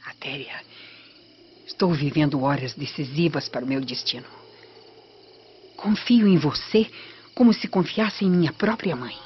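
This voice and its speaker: A woman's voice: low-pitched, moderate pace, long pauses, a little hiss or hum, close to an everyday voice. An actress playing a vengeful, commanding Roman lady in a radio soap opera, with an imperious, conspiratorial delivery. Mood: confiding, urgent. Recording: dull lo-fi radio drama with music.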